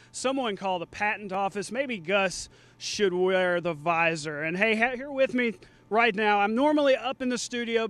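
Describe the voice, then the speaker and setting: A man's voice: high voice, fairly bright tone. A man anchoring a live sportscast in a conversational, jokey style.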